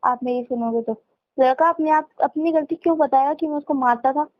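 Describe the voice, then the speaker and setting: Muffled, high-voiced young woman, challenging. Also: brisk. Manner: challenging, questioning. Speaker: girlfriend on a phone call. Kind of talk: argumentative private phone conversation. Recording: dull muffled phone call audio.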